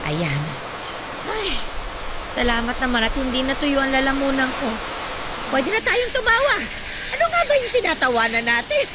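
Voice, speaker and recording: A woman acting a warm, joking, excitable middle-aged Filipina mother in a radio drama, playful and effusive. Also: high-pitched, moderate pace, long pauses, heavy background noise. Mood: playful teasing. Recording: loud music behind the voice.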